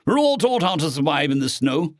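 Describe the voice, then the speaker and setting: A man's voice: fairly high pitch, big pitch swings, brisk, no echo, pitched above the performer's own voice. A man voicing a stoic, modest male crash survivor in a sci-fi story, speaking in an offhand, understated way.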